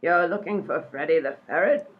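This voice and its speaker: A woman's voice: low-pitched, normal speed, slightly rough. A woman playing a pompous, mystical psychic in a comic radio play, delivering theatrical, portentous pronouncements. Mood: grandiose, solemn. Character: grandiose mystical female psychic, solemn and pompous. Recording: dull, lo-fi sound.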